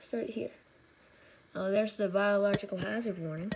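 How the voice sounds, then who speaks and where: A woman's voice: mid-range voice, steady tone, moderate pace. A woman hosting a casual hands-on gadget demonstration, talking informally and muttering asides to the device. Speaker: hobbyist video host. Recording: noisy, with alert tones and sirens. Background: emergency alert tones and sirens.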